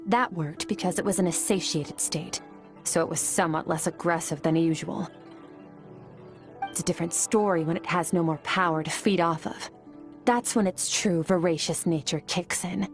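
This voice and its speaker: A woman voicing a composed, powerful female warrior in a video game, speaking calmly and with detachment. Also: lowish voice, lightly acted. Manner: calm, measured, detached.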